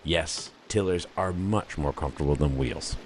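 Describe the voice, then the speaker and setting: A man narrating his solo sailing trip in a measured scripted voice-over, with dry wry asides. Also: very deep voice, slight background noise. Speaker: solo sailor and channel host.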